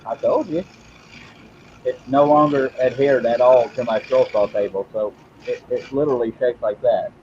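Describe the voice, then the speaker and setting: A man's voice: mid-range voice, normal speed, darkish tone, muffled recording, a little hiss or hum. A man hosting a woodworking live stream, chatting casually as he works, rambling and self-deprecating, often repeating his words. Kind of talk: casual live-stream chat while working.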